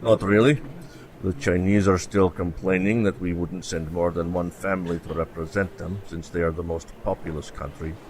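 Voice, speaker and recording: An actor playing a blunt, pragmatic professor in a science fiction radio play. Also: male voice, sits low, steady tone, normal speed, darkish tone, slight background noise, lightly acted. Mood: matter-of-fact. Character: blunt, pragmatic male professor on a space project. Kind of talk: scripted sci-fi radio play.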